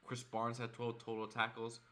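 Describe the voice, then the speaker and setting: A hoarse young man, a frustrated fan, recapping a football game and reading off stats in a casual vlog. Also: medium pitch, monotone, normal speed, bright, forceful tone. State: hoarse from screaming.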